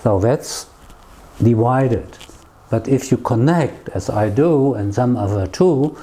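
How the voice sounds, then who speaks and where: A man's voice: mid-range voice, lively intonation, slow, warm tone. A man with a German accent answering conversationally as an interview guest, in short emphatic phrases often tagged with a questioning "yeah?". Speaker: interview guest, neighbourhood tour guide. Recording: clear background noise, ambient sound.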